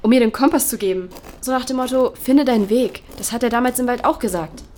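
A young actress playing a grieving, searching daughter in a naturalistic audio drama, talking colloquially and insistently. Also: female voice, a little high, fast talker, warm tone, close to an everyday voice. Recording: clear background ambience noise.

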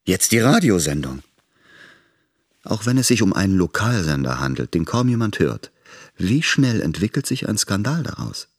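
An actor playing a cold, calculating and menacing politician in an audio drama monologue. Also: male voice, low voice, sing-song, moderate pace, husky, heavily acted. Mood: irritated, threatening. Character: cold, scheming male government politician.

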